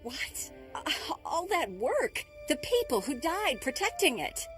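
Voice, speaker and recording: A woman voicing an expressive, motherly scientist in a video game, warm but quick to scold. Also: sits high, over music. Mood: dismayed.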